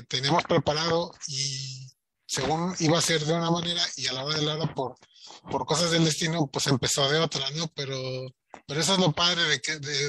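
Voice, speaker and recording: Male podcast host, a bit high-pitched, bright tone, rambling, faint noise behind. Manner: rambling, chatty, many filler words. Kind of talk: casual interview chat.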